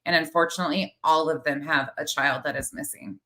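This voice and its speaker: A woman's voice: low voice, steady tone, a little fast, bright, forceful tone. A woman host giving exasperated, critical live commentary, with some swearing. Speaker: host of a true crime commentary show. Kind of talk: live commentary on played clips.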